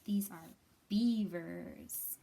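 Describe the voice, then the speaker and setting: A woman's voice: medium pitch, drawn-out words, gravelly, warm tone. A woman hosting a children's storytime, reading a picture book aloud and explaining it simply and expressively. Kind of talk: animated children's read-aloud.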